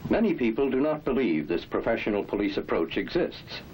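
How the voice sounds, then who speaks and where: A man's voice: medium pitch, very animated, brisk, a little hiss or hum, rough recording. A man narrating a 1960s instructional film in an emphatic, authoritative, rhetorical manner. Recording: old 1960s film soundtrack, loud music. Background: loud music.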